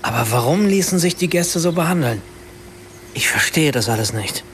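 A man's voice: on the high side, expressive, normal speed, noisy background, natural voice. An actor playing a troubled, hesitant writer who narrates his encounter in a reflective, self-questioning way in a radio play. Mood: puzzled, concerned. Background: music.

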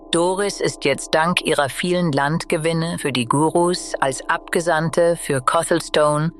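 A man's voice: a little high, fairly bright tone, natural voice. A man playing a pompous, ironic narrator of a comedy radio serial, delivering wordy scripted narration. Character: pompous, ironic male storyteller.

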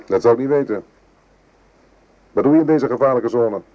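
An actor playing a wary, wry and commanding French corporal in a radio play, questioning briskly. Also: male voice, mid-range voice, warm tone, highs cut off, lightly acted. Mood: suspicious, pressing. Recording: noisy old radio drama recording.

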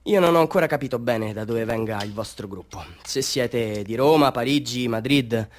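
A man's voice: medium pitch, normal speed, close to an everyday voice. A chatty, self-important street photographer played by a male actor works a group of tourists in a radio play monologue. His mid-range voice moves between pompous sales patter and confiding storytelling, full of rhetorical questions. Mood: confiding storyteller. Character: boastful, chatty small-time Bolivian street photographer hustling tourists.

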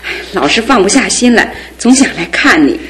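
A woman's voice: medium pitch, very animated, fairly quick, a bit bright, slight background noise. An actress playing a caring middle-aged mother who fusses over her grown son in a radio drama. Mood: doting. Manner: doting, fussing, affectionate.

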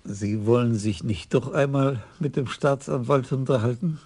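A gravelly old commissioner. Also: male voice, mid-range voice, normal speed, a little mellow. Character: calm elderly police commissioner. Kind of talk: radio drama acting.

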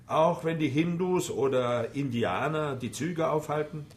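An actor in a German radio play, playing a scoffing, stubborn English club gentleman with a mocking, emphatic way of talking. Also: a little high, unhurried, lightly acted. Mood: mocking disbelief.

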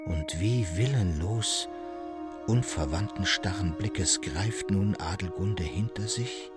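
A man's voice: sits low, slow, loud music behind the voice. A man narrating a gothic literary tale in a solemn, suspenseful and theatrical manner.

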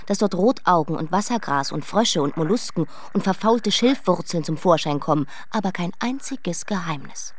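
A woman's voice: on the low side, a little hiss or hum, close to an everyday voice. A woman playing a proud, headstrong queen in a German radio play, speaking in emphatic, declamatory phrases. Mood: brisk, insistent. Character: headstrong, imperious young queen, proud and evasive.

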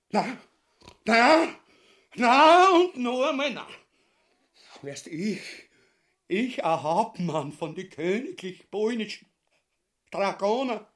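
An actor with a Viennese accent playing a pompous, crude, cowardly husband in a satirical radio play, blustering and muttering theatrically. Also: male voice, high voice, a bit bright, heavily acted. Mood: affronted.

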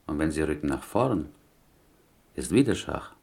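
A man acting in a German radio play as a talkative, pious butcher from Poland, gentle and wily, rambling along in inverted, Yiddish-style phrasing. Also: rumbling low, very animated, moderate pace, gravelly, exaggerated voice. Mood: sly, polite.

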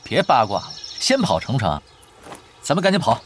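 A man's voice: mid-range voice, huge pitch swings, a little fast, noisy background. A male actor playing a confident, casually teasing gamer tactician in a Chinese radio drama, explaining things in an offhand way. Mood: calm, instructing. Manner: offhand, self-assured, explanatory. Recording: background music under the voice.

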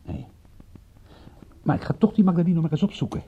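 An actor in a radio drama playing a wry, stubborn private detective with an offhand way of talking. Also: male voice, a little high, animated, brisk, creaky, slight background noise. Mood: brisk, reasoning. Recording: muffled, dull old radio recording.

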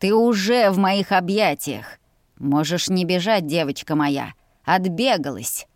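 A woman's voice: on the low side, expressive, a little slow, dry recording, clean studio sound. A woman voicing a stubborn male dragon general, curt, smug and possessive, in a fantasy audiobook. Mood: smug, insistent.

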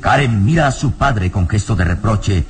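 A man's voice: fairly low pitch, lively intonation, moderate pace. A man narrating a radio drama in a solemn, theatrical style. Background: music.

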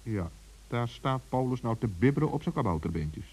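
A man's voice: mid-range voice, animated, fairly quick, darkish tone, breathy edge, poor audio. A man narrating a children's story intimately, speaking directly to listeners and characters.

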